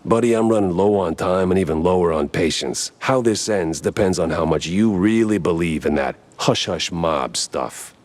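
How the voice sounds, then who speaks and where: A man with an American accent voicing a world-weary, stubborn mouse private eye in a cartoon noir game, gruff and sardonic. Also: moderate pace, hardly any pauses, close to an everyday voice. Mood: menacing. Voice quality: deep, husky.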